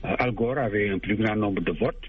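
A man's voice: lowish voice, normal speed, breathy edge, no echo. Man guest explaining with many hesitations, muffled lo-fi line. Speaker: political analyst guest. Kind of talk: explanatory radio commentary.

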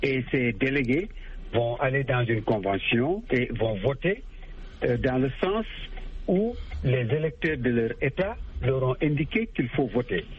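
A man's voice: mid-range voice, lively intonation, unhurried. Man guest explaining with many hesitations, muffled lo-fi line. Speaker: political analyst guest. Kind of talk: explanatory radio commentary.

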